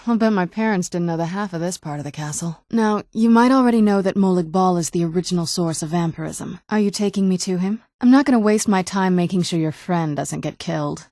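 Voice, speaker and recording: A woman's voice: fairly low pitch, fairly quick, hardly any pauses, warm tone, no echo, lightly acted. A woman playing a guarded, wry young-looking vampire noblewoman in a video game, dry and understated. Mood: wry, matter-of-fact. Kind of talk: video game character dialogue.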